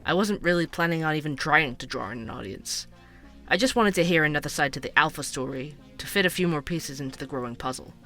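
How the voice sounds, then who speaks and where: A man's voice: fairly high pitch, fast, pushed and bright, faint music behind. A man playing an earnest night-shift worker turned investigative podcaster, narrating a scripted show in a confiding, musing way. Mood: confiding, wry. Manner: musing, confiding, addresses listeners directly. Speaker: podcast host.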